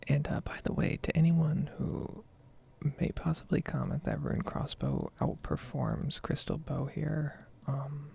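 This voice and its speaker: A soft-spoken, unhurried gaming host giving a hushed, whispery ASMR ramble over gameplay. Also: mid-range voice. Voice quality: whispery, creaky.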